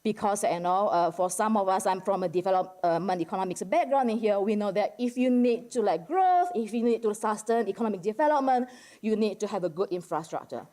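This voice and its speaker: A woman's voice: medium pitch, lively intonation, warm tone. Indonesian-accented woman presenting conversationally. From Medan, Indonesia. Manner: conversational and halting, peppered with "like" and "you know". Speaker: academic discussant at a conference. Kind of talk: informal economics presentation to a general audience.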